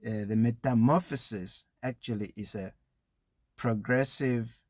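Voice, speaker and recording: A man's voice: mid-range voice, a little slow, dry recording, clean studio sound. A man giving an informal, educational talk with advice for young people, explaining things conversationally with frequent small asides.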